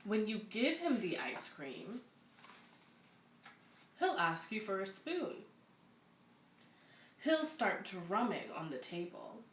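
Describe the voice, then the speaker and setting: A woman hosts a read-aloud story time for children in a medium-pitched voice. She moves between reading picture books and casual introductions. The room is noticeably echoey, with some faint background noise. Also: big pitch swings, takes it slowly, pauses often. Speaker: community center story-time host. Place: echoey room at a community center.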